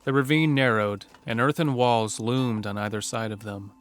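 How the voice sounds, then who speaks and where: A man's voice: medium pitch, fairly even intonation, moderate pace, soft background music. A man narrating a scripted western horror story for an audio drama.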